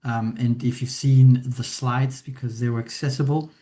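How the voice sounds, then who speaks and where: Chilean-born man giving a software webinar. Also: medium pitch, steady tone, moderate pace. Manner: hesitant, many fillers, tag questions. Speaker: implementation consultant presenting a webinar. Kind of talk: presentation walkthrough.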